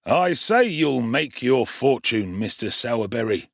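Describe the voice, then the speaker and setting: Pompous beadle, as a man puts him on, slow and weighty, lively pitch. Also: gravelly, no room sound, clean studio sound, heavily acted. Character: pompous self-important male parish beadle.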